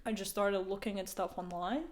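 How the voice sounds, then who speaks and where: A young woman from Latvia, speaking English as a second language, co-hosts a podcast in loose, casual chat. She rambles through her points, leans on fillers, and laughs as she goes. Her voice sits in a middle pitch. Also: steady tone, fairly quick, a crowd in the background. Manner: rambling, filler-heavy, laughs while talking. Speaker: podcast co-host.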